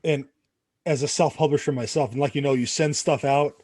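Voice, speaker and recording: Fast-talking male podcast host, dry sound. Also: mid-range voice, steady tone, darkish tone, no echo. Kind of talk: hosting an interview.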